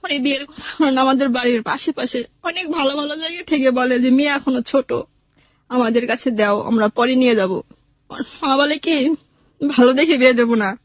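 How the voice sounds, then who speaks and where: A woman with a clearly high voice and a Bangladeshi accent shares a personal story. She speaks plaintively and voices remembered conversations as she goes. The recording is dry and close. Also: no echo, low-quality recording. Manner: plaintive, acts out remembered dialogue. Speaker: guest telling her life story. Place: radio studio.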